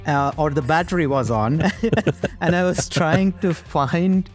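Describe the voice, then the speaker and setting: A man's voice: a little high, slow, soft background music. A young man hosting an interview podcast, chatting casually in a friendly, rambling way full of fillers. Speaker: podcast host.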